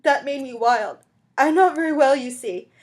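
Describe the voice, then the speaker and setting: Woman acting a high-pitched, desperate begging mother. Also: normal speed, a little mellow, lightly acted. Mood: frantic pleading. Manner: pleading, sobbing, agitated. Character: desperate destitute mother begging tearfully for mercy.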